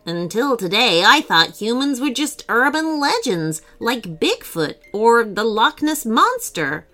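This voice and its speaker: A woman voicing a nervous, excitable male robot inventor in a children's story, gasping and pleading dramatically. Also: fairly high pitch, slow, pitched above the performer's own voice, exaggerated voice. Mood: awed, explaining.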